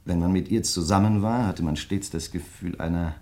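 A very low male voice from an actor carries a grieving crime writer in a radio drama. He speaks in measured, reflective phrases, hesitating as he searches for words, and stays courteous while he probes. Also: warm tone, lightly acted. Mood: wistful, reflective. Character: grieving, probing male crime writer, polite but insistent. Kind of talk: German radio play dialogue.

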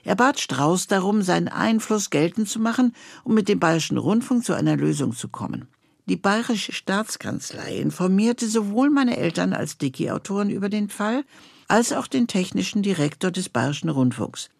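A woman host reading scripted narration, with a husky, creaky voice. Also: medium pitch, expressive, moderate pace, no room sound, clean studio sound. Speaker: host introducing bonus material.

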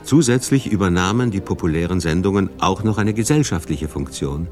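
A man's voice: sits low, lively intonation, fairly quick, darkish tone, soft background music. A man narrating a scripted radio documentary as its main narrator.

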